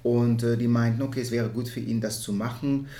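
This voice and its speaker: A man's voice: medium pitch, steady tone, fairly quick, mellow. Dutch-accented man, searching for words. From Netherlands. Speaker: interview guest, musical theatre performer. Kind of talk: reflective conversational interview.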